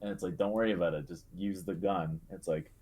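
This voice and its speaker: Hesitant low-voiced man over faint music. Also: steady tone, brisk, a little mellow. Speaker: podcast co-host. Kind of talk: casual video game discussion. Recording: music playing behind the voice.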